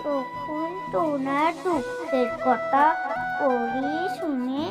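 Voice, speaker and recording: A high, childlike narrator tells a children's fairy tale at a slow pace. Loud music plays behind the scripted storytelling.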